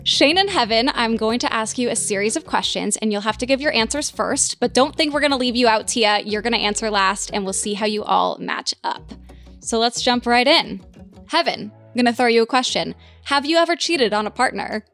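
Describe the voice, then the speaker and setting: A woman's voice: fairly high pitch, expressive, a little fast, a bit bright. A woman hosting a dating podcast, upbeat and playful, with faint music behind. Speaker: host.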